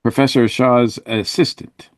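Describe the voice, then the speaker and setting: A man co-hosting a podcast, discussing a review casually with hesitant, halting phrasing. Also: mid-range voice, steady tone, no echo. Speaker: podcast co-host.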